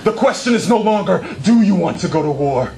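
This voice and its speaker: A man's voice: high voice, lively intonation, fairly quick, vocal fry, dark tone. A man performing spoken-word poetry live on stage as a mock political address, declamatory, impassioned and emphatic. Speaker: slam poet. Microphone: stage microphone.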